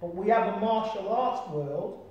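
High-voiced man, earnest, echoey room. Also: measured pace, darkish tone, highs cut off. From Britain. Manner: earnest, repetitive, checks in with "right?" and "you understand me?". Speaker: interview guest, martial artist. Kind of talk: reflective conversational storytelling. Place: small room, sitting on a sofa. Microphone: distant camera mic. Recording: very echoey room, mic far off.

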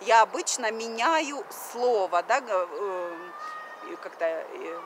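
A woman's voice: mid-range voice, slow pace. A woman from Russia in her thirties, talking casually to her phone camera outdoors by a river, with many fillers and bits of English mixed in. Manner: chatty, many fillers, mixes in English phrases. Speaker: vlogger. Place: outdoors on a wooden deck by a river. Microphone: phone camera at arm's length. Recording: outdoor phone recording, faint background noise.

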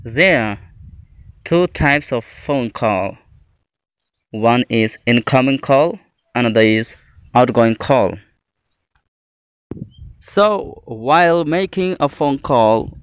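A male teacher delivers a scripted lesson at a slow pace, setting out each phrase deliberately. His voice is clean and smooth at a medium pitch, recorded dry and close. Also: no echo. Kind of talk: scripted English lesson, reading example phrases.

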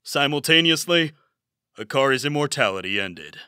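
A man's voice: medium pitch, expressive, normal speed, background noise, natural voice. A man performing scripted anime narration as a serious battle narrator, grave and emphatic. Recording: dramatic music behind the voice.

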